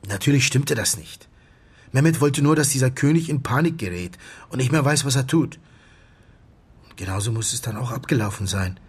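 An actor playing a grieving doctor in a radio drama, confessing in a halting, subdued voice that often sinks toward a whisper. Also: male voice, mid-range voice, expressive, slightly rough. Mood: agitated confession. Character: grieving male doctor confessing to his friend's staged death. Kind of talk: German radio drama acting.

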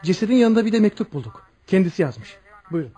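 High, fast-talking policeman. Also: big pitch swings, warm tone, a little hiss or hum. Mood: urgent. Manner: brisk, deferential reporting. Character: urgent male policeman reporting to superior. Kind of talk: radio drama acting.

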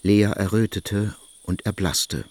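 A man narrating a literary radio play in a measured, solemn way. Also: fairly low pitch, steady tone, a little mellow.

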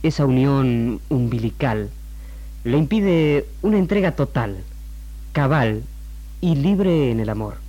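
An actor with an Argentine accent playing a formal, didactic doctor giving an interview in a 1960s radio drama. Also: male voice, medium pitch, animated, slow, mellow, lo-fi sound, slight background noise. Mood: formal, explanatory. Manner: formal, lecturing. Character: measured, didactic doctor interviewed on television about marriage.

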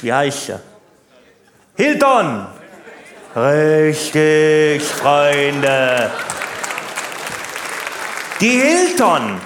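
Guy doing a grumbly naive family man standup bit, folksy and rambling, swoopy pitch, roomy live sound. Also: fairly bright tone, full character voice. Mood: grumbling. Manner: folksy, rambling, tag questions, exaggerating. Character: working-class family-man comic character, chatty, naive, grumbling. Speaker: stand-up comedian. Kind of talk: stand-up comedy monologue to live audience. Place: stage in a live comedy venue. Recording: roomy live hall, faint background noise.

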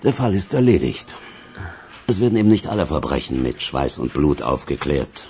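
A man's voice: extremely low pitch, very animated, normal speed, gravelly, warm tone, a little hiss or hum, heavily acted. A man playing a matter-of-fact police investigator in a radio crime drama, speaking in clipped statements. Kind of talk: German radio play acting.

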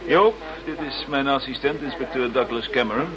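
An actor playing an unruffled, matter-of-fact British chief police inspector in a radio drama. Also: male voice, mid-range voice, normal speed, crowd noise, lightly acted, low-quality recording. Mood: easygoing. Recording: faint background noise, old radio play.

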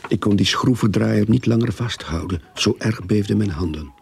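An actor playing a haunted grave robber, narrating his grim tale in a husky, gravelly voice in a radio play. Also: fairly low pitch, moderate pace, heavily acted. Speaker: first-person narrator. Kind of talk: dramatic first-person radio play narration.

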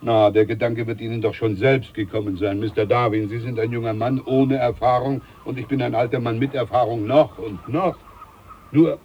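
An actor playing a boastful, condescending older ship's doctor in a radio play. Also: male voice, mid-range voice, fairly even intonation, moderate pace, dull audio, slight background noise, lightly acted. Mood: pompous. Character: pompous older ship's doctor, self-important.

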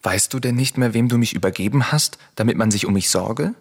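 An actor playing a grave, determined avenging son in a classical radio tragedy, speaking in a measured, husky voice. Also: male voice, mid-range voice. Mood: earnest.

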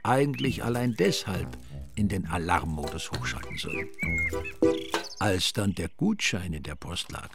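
A low, creaky-voiced narrator tells a comic crime radio drama. His delivery is dry and ironic, with lively rises and falls, over prominent music. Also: measured pace, heavily acted. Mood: wry, ironic. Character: wry, sardonic male narrator of a comic crime story.

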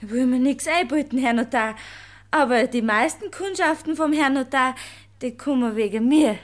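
An actress playing a village notary's vain, chatty housekeeper in a radio play, folksy and self-satisfied, with a Bavarian accent. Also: female voice, sits high, normal speed, close to an everyday voice.

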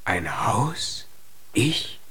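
An actor playing a kind, shy young giant as small as a dwarf, hesitant and earnest, in a children's radio play. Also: male voice, on the low side, big pitch swings, very slow, sharp tone, slightly rough, no echo, heavily acted. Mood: shy, tender. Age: young adult.

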